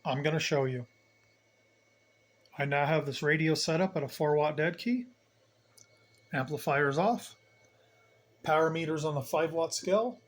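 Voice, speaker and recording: A man's voice: on the high side, moderate pace, long pauses. A man giving an unscripted hands-on equipment tutorial, plain-spoken and explaining step by step, repeating key points. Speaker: hobbyist demonstrator, channel host.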